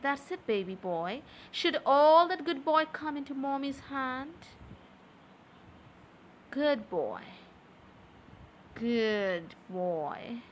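Woman, young adult, high voice, slow unhurried delivery, soft teasing tone, close mic. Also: big pitch swings, a little hiss or hum. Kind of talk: intimate audio roleplay.

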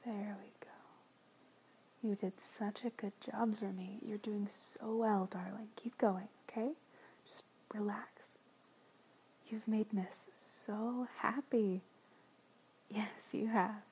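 A woman speaks in a slow, hushed ASMR whisper, drawing out each soft phrase. Her tone is warm and mellow rather than bright, gentle and reassuring throughout. A faint hiss sits under the quiet voice. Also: medium pitch, steady tone, poor audio. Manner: soft soothing whisper, tender pet names, gentle repeats. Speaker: audio roleplay performer.

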